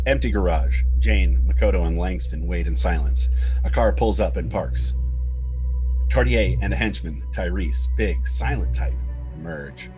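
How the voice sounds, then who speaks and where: A man's voice: low-pitched, a little mellow, poor audio. A man reading screenplay stage directions as scripted narration.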